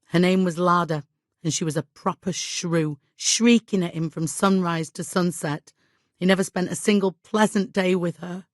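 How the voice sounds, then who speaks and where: An actress playing a middle-aged medieval village widow in a video game, devout and guilt-ridden, with an exasperated, imperious and plaintive manner. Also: female voice, lowish voice, steady tone, normal speed, dry recording, studio quality, close to an everyday voice. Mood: grave storytelling. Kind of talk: dramatic video game dialogue acting.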